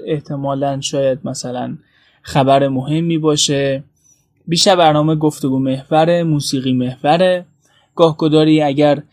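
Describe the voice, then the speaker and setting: A man introducing a podcast informally, hesitant and pausing between phrases. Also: a little high, darkish tone. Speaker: podcast host. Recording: music behind the voice.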